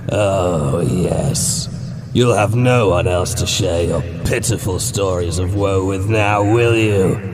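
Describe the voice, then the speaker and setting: Man voicing a growling, mocking undead mother creature. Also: low voice. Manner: slow, drawn-out, taunting. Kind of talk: horror audiobook character voice.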